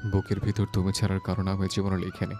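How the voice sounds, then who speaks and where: Man acting a low-voiced devoted young husband. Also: monotone, fast, faint music behind. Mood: tender pleading. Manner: earnest, soft-spoken. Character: devoted, romantic young husband, earnest and tender. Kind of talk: romantic audio story acting.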